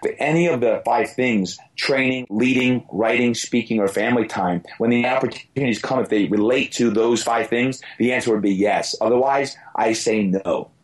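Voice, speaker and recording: A man with a hoarse, raspy voice answering podcast questions as a fitness business coach, emphatic and motivational, repeating key phrases. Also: mid-range voice, normal speed, few pauses, poor audio.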